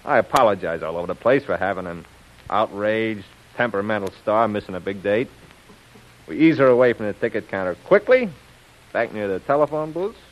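A man's voice: lowish voice, expressive, fairly quick, slight background noise, lightly acted. An actor playing a scheming, cocky gangster henchman with slangy, conspiratorial tough talk in a 1930s radio serial style. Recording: muffled old radio recording.

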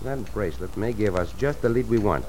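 A man plays a confident Mexican cowboy adventurer from a vintage radio western. His delivery is very animated and courteous, with formal English and Spanish touches. A light hiss of old recording runs underneath. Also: lowish voice, a little fast, close to an everyday voice. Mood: serious, planning.

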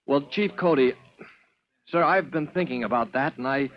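A man's voice: mid-range voice, normal speed, lightly acted. An actor playing a modest, eager young rookie fireman in a 1940s radio drama. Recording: dull old radio recording.

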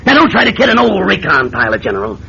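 Probing senator, radio drama, widely swinging intonation, folksy and insistent, muffled old recording. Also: male voice, high voice, wildly swooping pitch, quick pace, vocal fry, pushed and bright, heavily acted. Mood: pressing. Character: shrewd, persistent senator, an old recon pilot. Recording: dull, noisy vintage radio recording.

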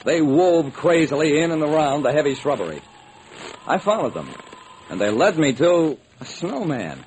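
Wry private detective, swooping delivery. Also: male voice, on the high side, sing-song, a little mellow. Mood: wry storytelling. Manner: dramatic, deliberate, wry. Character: hard-boiled, wry male private detective telling his case. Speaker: narrator and lead detective. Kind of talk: old-time radio detective narration. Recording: noisy old radio recording.

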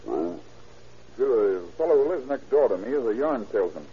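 An actor playing a defensive, shifty crime suspect who stammers out excuses in an old-time radio drama. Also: male voice, mid-range voice, big pitch swings, moderate pace, breathy edge, exaggerated voice. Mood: nervous, evasive. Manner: stammering protests and lame excuses. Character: evasive hit-and-run suspect, defensive and shifty man. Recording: muffled, noisy vintage radio recording.

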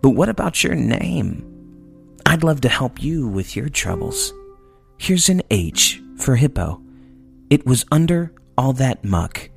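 A man's voice: medium pitch, very animated, takes it slowly, creaky, faint music behind, exaggerated voice. A man voicing a fussy, tubby hippo who hates dirt, in a whiny storybook voice. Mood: cheerful.

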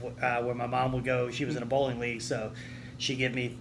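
A man's voice: mid-range voice, monotone, fairly quick. A man chatting casually as a panelist on a podcast. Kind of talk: casual chat. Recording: noticeable background noise.

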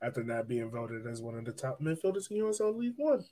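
A man's voice: medium pitch, big pitch swings, fast, dark tone. A man hosting a casual soccer discussion, conversational and steering the talk along. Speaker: podcast host.

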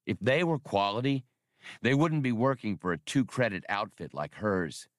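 A cocky space pilot and gunslinger, played by a male audiobook reader, blunt and sure of himself. His clipped speech swings lively in pitch, recorded dry and close. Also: normal speed, no echo, natural voice. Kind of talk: audiobook character voice.

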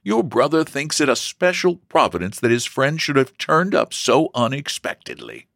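A man voicing a kindly middle-aged mother who reasons and gently chides, in a storybook reading. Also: mid-range voice, big pitch swings, normal speed, vocal fry, no room sound, studio quality, pitched above the performer's own voice. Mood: gently chiding.

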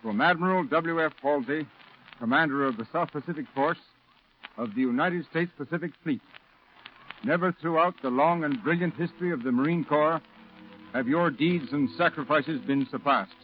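An actor playing a proud colonel formally reading a commendation in stately 1940s radio style. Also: male voice, a little high, moderate pace, slight background noise, lightly acted. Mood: proud, solemn. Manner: stately, oratorical. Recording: muffled old radio recording, music behind. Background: music.

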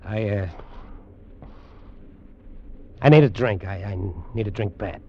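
An actor playing a bitter, nervous hard drinker in an old-time radio drama, with a hesitant, halting delivery. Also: male voice, medium pitch, lively intonation, heavy background noise, close to an everyday voice. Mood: shaky, desperate. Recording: muffled old radio recording, faint music.

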